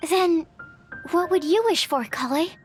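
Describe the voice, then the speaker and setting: A woman's voice: very high voice, a little slow. A woman voicing a timid, bookish young female researcher in a video game, hesitant, precise and careful to qualify things. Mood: polite. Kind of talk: animated video game voice acting. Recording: music behind the voice. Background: game music.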